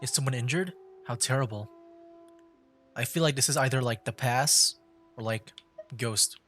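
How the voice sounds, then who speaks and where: A man with a medium-pitched voice commentates on a game live. His delivery is casual and hesitant, as if thinking out loud while he streams. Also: normal speed, soft background music.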